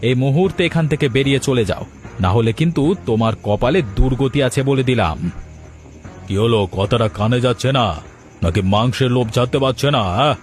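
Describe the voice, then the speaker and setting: A man's voice: medium pitch, brisk, close to an everyday voice. A man voicing a blustering, protective village uncle in a cartoon folktale. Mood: stern, accusing. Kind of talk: Bengali cartoon folktale acting. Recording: music bed behind the voice.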